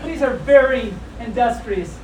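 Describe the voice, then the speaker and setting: Female live storyteller, high voice, playful and chatty, picked up from afar in a noisy room. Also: unhurried, a crowd in the background. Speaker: live storytime host at a fan convention. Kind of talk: reading a comic children's story aloud to an audience, with casual asides. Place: indoor convention room with audience. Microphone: distant camera filming a live event. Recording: distant echoey room recording with background noise.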